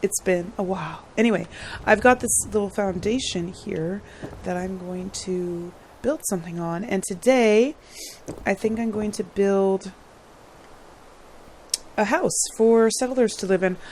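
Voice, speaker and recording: A woman with a hoarse, slightly worn voice talks casually over her gameplay, rambling from one thought to the next. She laughs easily and keeps clearing her throat. A faint hum of room noise sits behind her. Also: mid-range voice, a little slow, darkish tone. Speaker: gaming channel host.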